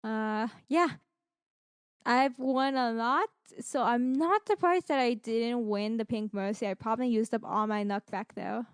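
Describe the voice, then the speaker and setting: A woman's voice: a little high, a little slow, smooth, no echo, studio quality. A woman co-hosting a podcast in casual chat, rambling along and acting out little stories as she retells them. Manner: rambling, animated retelling with acted-out quotes. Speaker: podcast co-host. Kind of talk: casual chat about gaming streams and giveaways.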